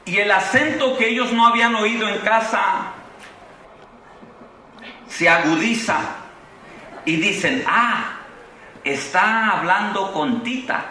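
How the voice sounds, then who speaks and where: A Mexican man in his forties preaching with a Mexican Spanish accent, his voice high and bright. He swings between fervent proclamation and easy storytelling. He is heard from far off, with long echo from a big convention hall. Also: slow pace, pauses often, slight background noise. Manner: impassioned preaching with rising exclamations, then relaxed storytelling. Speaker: pastor. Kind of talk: sermon.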